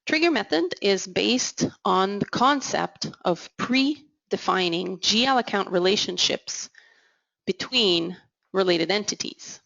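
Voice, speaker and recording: A woman giving a measured, explanatory software product demo as voice-over, slowing down on key terms. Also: medium pitch, lively intonation, vocal fry, no room sound, muffled recording. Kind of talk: scripted product demo narration.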